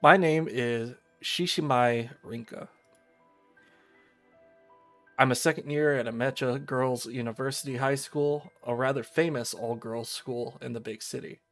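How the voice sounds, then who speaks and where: An aloof teenage schoolgirl read by a man in his mid-pitched voice narrates a visual novel over faint music. The delivery is measured and resigned, that of a fatalistic outsider telling a story. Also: fairly even intonation, natural voice.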